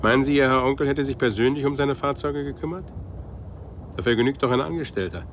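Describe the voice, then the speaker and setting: An actor playing a condescending, smooth middle-aged business magnate with veiled menace, in a 1950s German radio play. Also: male voice, medium pitch, fast, a bit bright, lightly acted. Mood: jovial, patronising. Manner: patronising, suave, mocking. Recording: noisy vintage 1950s radio recording.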